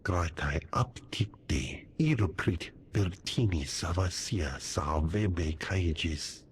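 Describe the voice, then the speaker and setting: An actor voicing a solemn alien in a video game, speaking in a measured way. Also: male voice, sits low, animated, a little hiss or hum.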